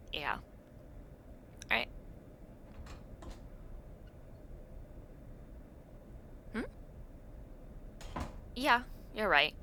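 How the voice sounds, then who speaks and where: A young adult woman playing a friendly, teasing university student in a casual audio roleplay, talking to the listener with expressive reactions and drawn-out words. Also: low-pitched, a little hiss or hum, full character voice.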